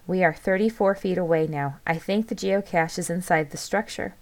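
A woman voicing a bold, bossy young woman leading her friends, brisk and dismissive, in a story. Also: on the low side, voice pushed up, close to an everyday voice. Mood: focused.

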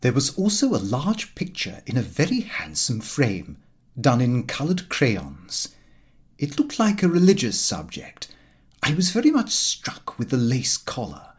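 A man's voice: mid-range voice, huge pitch swings, measured pace, husky. A man reading a first-person comic diary as scripted audiobook narration. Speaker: audiobook reader.

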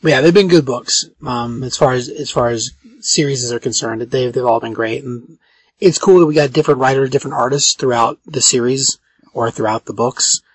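A man with a raspy voice hosting a podcast in casual chat, halting and often correcting himself. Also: medium pitch, no echo, rough recording. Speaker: podcast host.

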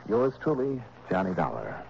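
An actor playing a cool, dogged insurance investigator in a 1960s radio drama, speaking in a clipped, dry, commanding way. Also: male voice, low voice, wildly swooping pitch, normal speed, gravelly, exaggerated voice. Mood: wry, relaxed. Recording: noisy, dull old radio recording.

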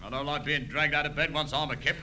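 An actor playing a blunt, threatening crane-operator husband with a working-class English accent, in broadcast sitcom style. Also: male voice, on the high side, fairly even intonation, quick pace, noisy background, natural voice. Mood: aggrieved. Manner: blunt, menacing.

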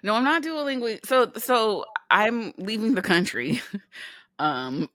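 A woman's voice: fairly high pitch, expressive, takes it slowly, a bit bright, dry recording. Woman, middle-aged, from California, casual chat, frequent laughter, profanity, dry close mic. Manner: laughs often, swears casually. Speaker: podcast co-host.

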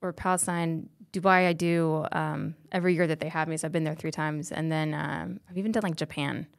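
A young woman from Colorado talks as a comedian guest on a podcast. Her voice sits a little low and the words tumble out fast. She tells animated stories full of likes and ums. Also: vocal fry. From Colorado, USA. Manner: chatty, animated storytelling with many fillers. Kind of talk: casual podcast chat.

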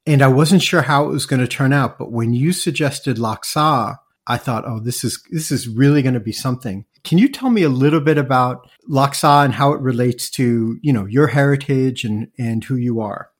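A man hosting a food podcast, introducing his guest and asking earnest, slightly halting questions. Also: mid-range voice. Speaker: podcast host. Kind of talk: podcast interview.

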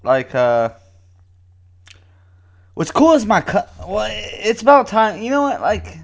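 A man's voice: sits high, sing-song, slow, gravelly, dull audio. A man co-hosting a podcast in casual banter, rambling, laughing often and swearing freely. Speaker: podcast co-host.

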